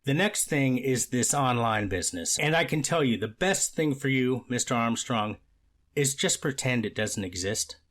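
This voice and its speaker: A man playing a stern but paternal judge, speaking formally and deliberately in a court transcript reenactment. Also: mid-range voice, expressive, fairly bright tone. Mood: firm, advising. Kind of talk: amateur readers theater court transcript reenactment.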